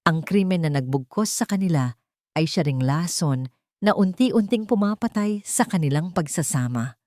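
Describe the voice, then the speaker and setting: A woman reading scripted dramatic fiction as narrator, in deliberate short phrases. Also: fairly low pitch, expressive, a little mellow, dry recording, studio quality. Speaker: story narrator.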